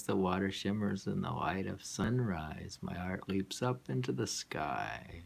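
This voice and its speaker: A man reading his own poems aloud in a halting, musing way, with muttered asides. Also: on the low side, creaky, a bit bright. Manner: halting, musing, hums to himself between lines.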